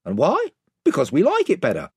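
A pompous storybook chief, played by a male reader, folksy and long-winded. His delivery is very animated, rising and falling as he rambles on. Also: fairly high pitch, fairly quick, breathy edge, no room sound, clean studio sound, pitched above the performer's own voice, full character voice. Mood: rambling, earnest.

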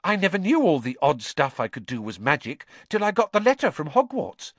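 An eager little schoolboy, piping very high above an adult register. He gushes hopefully and breathlessly, like a star-struck fan in a children's audiobook, in a close, dry recording. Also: lively intonation, moderate pace, a bit bright, no room sound. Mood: eager, excited. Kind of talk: audiobook character voice.

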